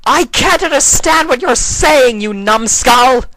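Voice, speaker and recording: Man as female pony goddess, haughty, grumbling, condescending, raised pitch, storybook villain. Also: animated, takes it slowly, pushed and bright, no room sound, exaggerated voice. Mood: exasperated. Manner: irritable, condescending.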